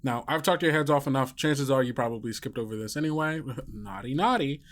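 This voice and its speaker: A man with a medium-pitched voice hosts an actual-play podcast. He narrates like a game master, casual and improvised, talking straight to listeners and players. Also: a little fast.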